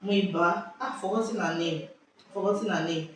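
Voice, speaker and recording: A young woman with a Nigerian accent tells a story in an animated, exclamatory way, cutting herself off and restarting. Her voice bounces around a very echoey room. She sits some way from the mic, and a faint hiss runs underneath. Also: on the low side, moderate pace, distant mic. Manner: lively, exclamatory, self-interrupting. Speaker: vlogger. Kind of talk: casual storytelling chat. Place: indoors at home.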